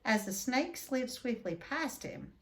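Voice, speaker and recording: A woman reading a children's book aloud expressively, with deliberate dramatic emphasis. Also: a little high, big pitch swings. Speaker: read-aloud storyteller.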